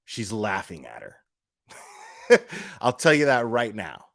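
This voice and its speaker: A man's voice: lowish voice, wildly swooping pitch, takes it slowly, vocal fry, a bit bright, dry recording, studio quality. A man speaking casually and off the cuff like a live streamer on a rant, blunt and profane, repeating phrases for emphasis. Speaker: livestream commentator.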